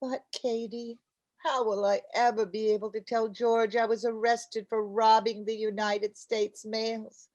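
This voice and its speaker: A woman acts an exasperated, scatterbrained housewife in the style of old-time radio comedy. Her voice sits a little high, and she sounds earnest, flustered and insistent. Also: little pitch movement, measured pace, darkish tone, dull audio, lightly acted. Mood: flustered explaining. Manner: fretful, insistent.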